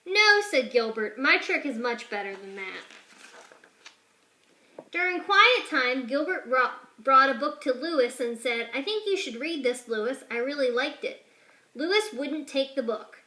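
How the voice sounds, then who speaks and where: High bright lady reading a kids' story out loud, does the voices, echoey room. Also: a little slow. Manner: expressive, shifts pitch and loudness for each character. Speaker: teacher reading aloud. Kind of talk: children's picture book read-aloud.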